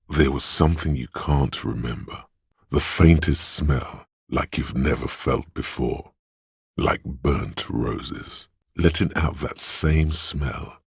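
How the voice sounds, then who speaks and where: A man voicing the wry inner voice of a detective's sense of smell in a game, with a slow, sardonic delivery. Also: no room sound, clean studio sound, full character voice. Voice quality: deep gravelly husky voice. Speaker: voice actor for game narration. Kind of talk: scripted game voice lines. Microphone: close studio mic.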